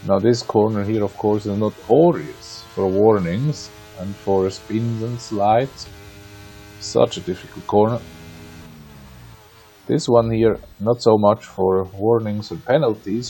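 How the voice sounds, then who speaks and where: A man's voice: lowish voice, slow pace, mellow, a little hiss or hum. A man from Austria with an Austrian accent and German as his native language, giving conversational, wry live racing commentary with playful asides. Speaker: sim racing league commentator.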